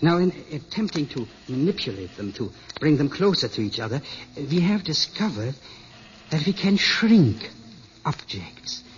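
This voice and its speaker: An actor playing an elderly, absorbed scientist and father in an old radio drama, speaking in a deliberate, hesitant lecturing way. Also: on the high side, very animated. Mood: earnest, lecturing. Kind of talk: 1950s radio drama acting. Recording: muffled old radio recording with hiss.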